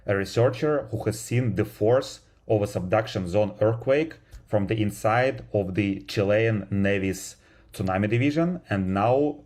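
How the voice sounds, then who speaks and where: A man's voice: lowish voice, a little mellow. A man hosting a science podcast, who reads a scripted introduction and then asks questions with a few fillers. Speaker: podcast host.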